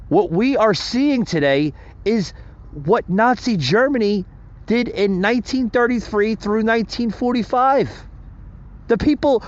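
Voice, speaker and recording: A man's voice: sits high, lo-fi sound. A man hosting a solo political podcast, speaking emphatically and calling his listeners "folks". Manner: emphatic, rousing delivery addressing "folks". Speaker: podcast host.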